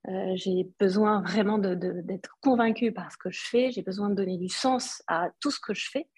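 A woman's voice: medium pitch, moderate pace, darkish tone, husky, no room sound. A woman from Algeria whose first language is Arabic, a brand founder telling her story in an interview, reflective and hesitant, with many fillers. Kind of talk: podcast interview.

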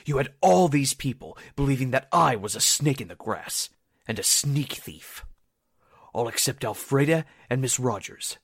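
Man acting a blunt, indignant young soldier, animated. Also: medium pitch, normal speed, vocal fry, slightly rough, no echo, studio quality. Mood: indignant, threatening. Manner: scolding, folksy slangy tough talk. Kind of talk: 1940s radio drama acting.

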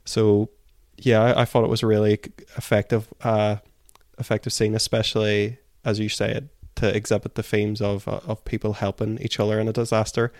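Male co-host for book discussion: lowish, flat intonation, analytical, very dry close sound. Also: brisk, few pauses, no room sound.

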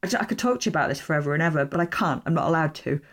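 A woman with a Yorkshire accent hosting a podcast, asking questions and signing off with enthusiasm and warmth. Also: low voice, animated, racing. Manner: enthusiastic, friendly. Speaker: podcast host. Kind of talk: podcast interview and outro.

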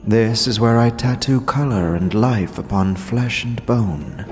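An actor playing a gentle, solemn otherworldly tattooist creature in an audio drama, speaking gravely and with measured reverence. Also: male voice, mid-range voice, in a large hall, lightly acted. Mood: solemn, sorrowful. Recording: music behind the voice.

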